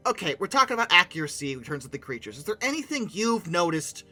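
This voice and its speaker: Sarcastic video reviewer, lively swooping pitch and a very bright tone, informal and theatrical, faint music underneath. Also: fast talker. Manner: theatrical, swings into mock-outraged rants; sarcastic asides. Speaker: video game reviewer and host. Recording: music bed behind the voice.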